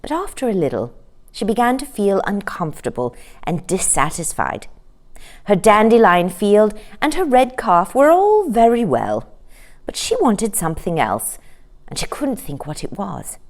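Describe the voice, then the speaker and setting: A woman storyteller narrating a children's story in an expressive read-aloud style. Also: mid-range voice, unhurried.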